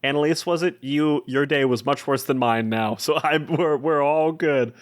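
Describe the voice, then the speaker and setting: A man's voice: fairly high pitch, moderate pace, pitched above the performer's own voice, close to an everyday voice. A man playing a rattled, kind-hearted adventurer in a tabletop game, talking in a hesitant, informal, soothing way. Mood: relieved.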